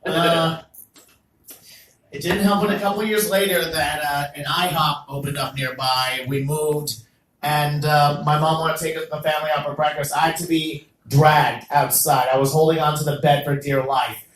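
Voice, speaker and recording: A young man doing a stand-up comedy set as the host of an open mic, animated and jokey, with loud bursts on the punchlines. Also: fairly high pitch, normal speed, reverberant, poor audio. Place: small comedy venue.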